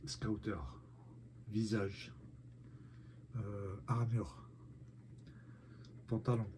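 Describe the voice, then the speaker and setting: A man's voice: lowish voice, flat delivery, extremely slow, mellow, slight background noise. A man with a head cold, casually reviewing a collectible figurine for viewers, hesitating often. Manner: hesitant, many "euh" fillers, speaks to viewers. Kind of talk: casual unboxing vlog.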